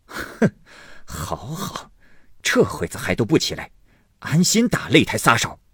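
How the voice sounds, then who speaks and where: A man's voice: low voice, big pitch swings, moderate pace, fairly bright tone, slightly rough, no room sound, clean studio sound, full character voice. A man playing a hot-tempered, sneering husband who blusters, snorts and grumbles sarcastically in a storybook reading.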